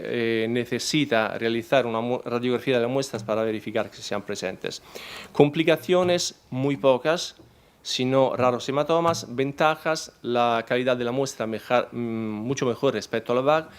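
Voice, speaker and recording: A man whose first language is not Spanish, presenting a technical talk at a conference in a halting way, full of fillers and restarts. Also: mid-range voice, clean tone.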